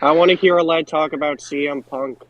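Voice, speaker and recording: Higher-pitched man in casual chat. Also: steady tone, brisk, a bit bright, no echo, poor audio. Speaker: podcast co-host.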